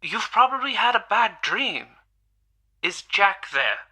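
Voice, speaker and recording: A man's voice: high voice, big pitch swings, slow pace, pushed and bright, exaggerated voice. A man playing a baffled, doubtful friend taking a frantic call in an old-time radio melodrama, firm and reasoning.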